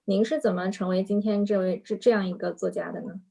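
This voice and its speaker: Female panel moderator, polite and brisk, mellow tone. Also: mid-range voice, steady tone, gravelly, husky. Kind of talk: hosting a panel.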